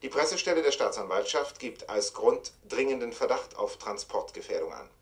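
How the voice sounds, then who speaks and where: A formal, impersonal newsreader in a radio drama, played by a man. He delivers a scripted bulletin at a middling pitch. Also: animated, normal speed, close to an everyday voice. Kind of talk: scripted news bulletin within a radio play.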